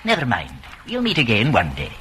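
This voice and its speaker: A suave, enigmatic party official, polite with a condescending air. His voice is bright and swoops widely in pitch, in old-time radio style on a faintly noisy recording. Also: wildly swooping pitch, brisk. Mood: smooth, cryptic.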